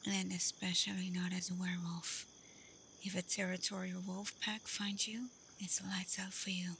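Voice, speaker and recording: A woman playing a confident, teasing, protective werewolf alpha in an ASMR roleplay, speaking softly and breathily, often half-whispering, in a calm, unhurried way. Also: on the low side, creaky, slight background noise. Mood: frank, sympathetic. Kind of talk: ASMR roleplay, soft intimate acting to the listener. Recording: night insects and forest ambience behind. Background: crickets, night forest ambience.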